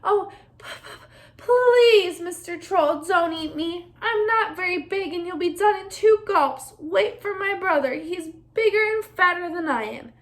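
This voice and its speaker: A woman's voice: very high voice, lively intonation, unhurried, pitched above the performer's own voice. A woman voicing a nervous middle-sized male goat in a children's storybook, stammering with fear. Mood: frightened pleading. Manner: stammering, fearful.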